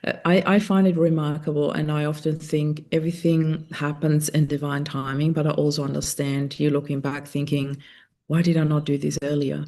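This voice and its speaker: A woman podcast host whose first language is German speaks in an even, level tone. She is thoughtful and reflective and sometimes pauses to search for the right word. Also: sits low. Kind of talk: reflective conversational interview.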